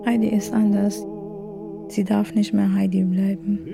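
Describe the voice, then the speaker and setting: A woman's voice: mid-range voice, level tone, unhurried, creaky. A woman retelling a story aloud off the cuff in plain, short phrases. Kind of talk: improvised oral retelling. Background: faint music.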